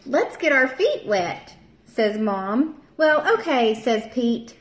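A woman in her thirties reads a children's picture book aloud at a slow, patient pace. Her voice swoops up and down with playful character voices. She is recorded in an echoey room by a camera sitting at table distance. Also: on the high side, animated. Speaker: teacher.

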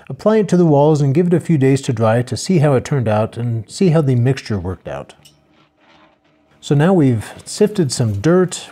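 Older man narrating in a mellow voice. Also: mid-range voice. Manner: plain, steady, matter-of-fact explaining. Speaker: homesteader narrating a build video. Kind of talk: relaxed voice-over narration.

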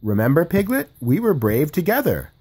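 A man voicing a small, eager young kangaroo in a storybook, with an excited, childlike manner. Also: a little high, moderate pace, husky, voice pushed up, heavily acted. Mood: proud.

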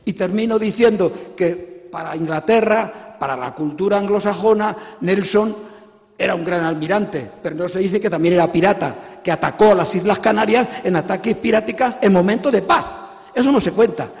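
A man's voice: high voice, normal speed, gravelly. An older man giving an impassioned, emphatic, digressive talk on a conference stage into a handheld microphone. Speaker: panel speaker, comics artist. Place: auditorium stage. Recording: echoey hall PA, muffled.

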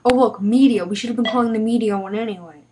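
A woman's voice: on the high side, quick pace. A teenage young woman chatting casually, recorded on a webcam microphone in a room at home. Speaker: vlogger making prank calls. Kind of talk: casual chat. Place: small room indoors at home. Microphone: webcam microphone at arm's length. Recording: noisy webcam microphone, clicks.

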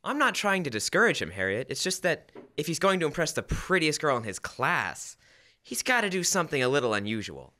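A teenage male actor playing an excitable, meddling suburban father in old-time radio comedy style. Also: on the high side, brisk. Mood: advising. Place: school library. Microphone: standing stage microphone.

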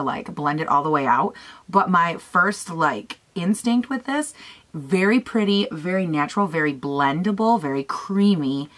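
Raspy-voiced young woman gushing over makeup. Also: sits low, a bit bright. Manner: gushing and chatty, talks to herself. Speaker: beauty vlogger. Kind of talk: casual makeup tutorial chat. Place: small room at home, indoors.

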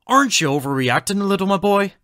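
A fairly high, animated male voice , an actor's, closely and dryly recorded. He is a formal, old-fashioned doctor of an audio drama, genteel and blithely cheerful. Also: quick pace, no echo, studio quality. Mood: mildly reproving. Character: genteel, cheerfully oblivious time-displaced doctor from the past.

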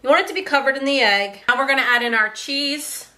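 A woman's voice: high voice, quick pace, pushed and bright. A woman in her thirties giving a friendly step-by-step cooking demonstration in her home kitchen. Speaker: home cook.

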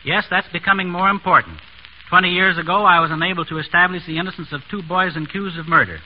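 Fast-talking male expert on old radio. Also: fairly high pitch. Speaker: physician criminologist, interview guest. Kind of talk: scripted formal radio interview. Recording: dull, noisy vintage radio recording.

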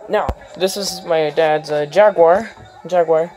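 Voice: medium pitch, slow, darkish tone, some chatter behind. A vlogger casually narrating a tour from behind a handheld phone in a room full of posters, listing items with many ums and uhs. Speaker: vlogger giving a home tour.

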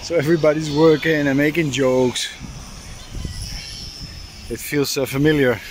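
A man's voice: steady tone, measured pace. Middle-aged man vlogging casually over outdoor noise. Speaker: sailing vlogger. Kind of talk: casual vlog chat and DIY explanation. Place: outdoors at a harbor boatyard and on a boat deck. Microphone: handheld camera at arm's length. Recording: noisy outdoor recording with ambient rumble.

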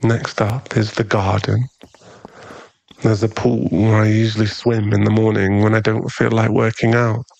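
A man playing a possessive, tender and dangerous mafia boss boyfriend in an intimate, teasing ASMR roleplay, lingering on his pauses. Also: on the low side, little pitch movement, creaky, a little mellow, no echo. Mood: relaxed, affectionate.

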